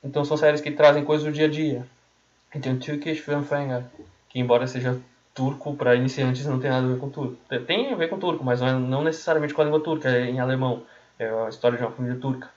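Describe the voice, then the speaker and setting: A man's voice: mid-range voice, steady tone, fast, a little mellow. A man with a Brazilian accent giving informal language-learning tips in a colloquial way, often repeating and rephrasing himself. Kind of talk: informal explanatory talk. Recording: dull, muffled lo-fi audio.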